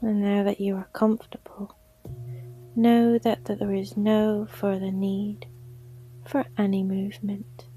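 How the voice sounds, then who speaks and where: A woman guiding a sleep meditation in a soft, breathy near-whisper, gentle and soothing. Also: mid-range voice, flat delivery, unhurried, poor audio. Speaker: yoga nidra guide. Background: gongs and singing bowls.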